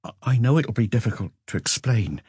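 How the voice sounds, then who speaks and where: Man reading as defensive murder suspect, weary husband, wordy, earnest, lively intonation, audiobook mystery. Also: mid-range voice, a little fast, breathy edge, dry recording, clean studio sound, pitched above the performer's own voice. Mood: weary, deflated. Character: weary, defensive married businessman under police questioning.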